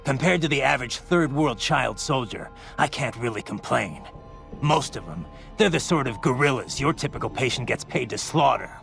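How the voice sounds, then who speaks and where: A man's voice: mid-range voice, expressive, normal speed, creaky, fairly bright tone, soft background music. An actor playing a hardened cyborg swordsman in a game cutscene, terse and matter-of-fact. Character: hardened cyborg swordsman, adult man, blunt. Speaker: video game protagonist.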